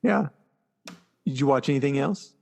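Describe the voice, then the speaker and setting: A man's voice: medium pitch, lively intonation, normal speed, creaky, darkish tone. An American man co-hosting a podcast, chatting casually and with enthusiasm about pop culture. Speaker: podcast co-host.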